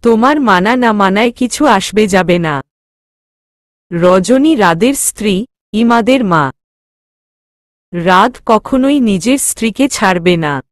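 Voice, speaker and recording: A woman's voice: medium pitch, animated, slow, pauses often, no echo, clean studio sound. A woman voicing a stern, irritated father with a firm, commanding manner in an audio story.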